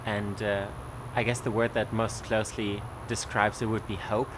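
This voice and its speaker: A man's voice: fairly low pitch, little pitch movement, normal speed, noisy background. A young man telling his personal testimony in a casual way, with many fillers and restarts. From Germany.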